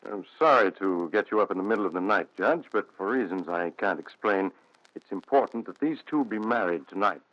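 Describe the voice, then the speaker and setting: A calm doctor in an old radio thriller. He probes and advises with measured authority in a fairly low voice, on a dull vintage recording. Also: dry recording. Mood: courteous. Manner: measured, deliberate, insistent. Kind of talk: 1940s radio thriller acting.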